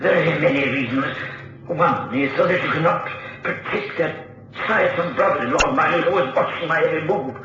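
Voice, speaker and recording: An actor playing a mad scientist villain in a radio drama, deliberate and menacing, relishing each word. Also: male voice, a little high, close to an everyday voice. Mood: gloating. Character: mad, gloating male scientist villain obsessed with beetles. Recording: noisy, muffled radio recording, very echoey.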